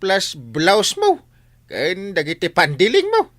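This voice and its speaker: Stammering, bright-voiced henpecked village captain. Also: male voice, sits high, wildly swooping pitch, takes it slowly, dry recording, studio quality, heavily acted. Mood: whining. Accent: Filipino (Ilocano). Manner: stammering, exclamatory. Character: bumbling, whining henpecked husband and village captain. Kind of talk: comedic radio drama acting.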